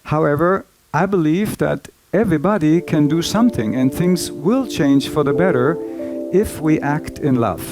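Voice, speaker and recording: A man giving an earnest, deliberate spoken introduction. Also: fairly high pitch, expressive, over music.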